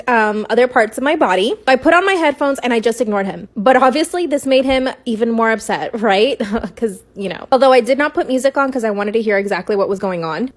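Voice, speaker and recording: Young female storyteller, higher-pitched and quick, chatty and confiding, for casual personal storytime. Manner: chatty and confiding, addressing listeners directly.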